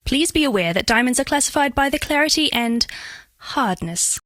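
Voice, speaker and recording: A high-pitched female alien of living diamond for a video game. She is bashful yet flirtatious, curious and literal-minded, with formal, carefully precise wording. The recording is very dry, with no room sound. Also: lively intonation, normal speed, fairly bright tone, no echo. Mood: curious, coy. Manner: precise, stilted formal diction, earnest, coyly playful. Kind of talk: scripted game character voice lines.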